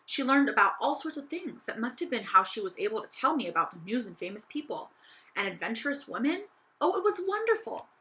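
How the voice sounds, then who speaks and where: A woman's voice: a little high, big pitch swings, fairly quick, sharp tone, rough recording. A woman voicing a young girl doll in a children's story, eager, earnest and confiding, restless for adventure. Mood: earnest, thoughtful. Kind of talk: children's audiobook character voice.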